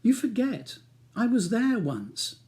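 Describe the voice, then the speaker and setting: A man's voice: very high-pitched, big pitch swings, takes it slowly, warm tone, pitched above the performer's own voice, exaggerated voice. A man reading an audiobook as a weary, defensive barrister husband with a wry, ironic manner. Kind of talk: audiobook character dialogue.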